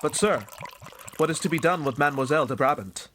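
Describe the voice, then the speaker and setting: An actor playing a worried, deferential confidant in a period audio drama. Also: male voice, fairly high pitch, animated, fast talker, background noise, close to an everyday voice. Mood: anxious.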